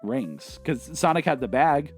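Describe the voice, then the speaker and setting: An excitable man co-hosts a casual film review. He talks informally and with open enthusiasm, in a mid-pitched voice, with faint music behind him. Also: a little slow. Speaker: co-host of a movie review show.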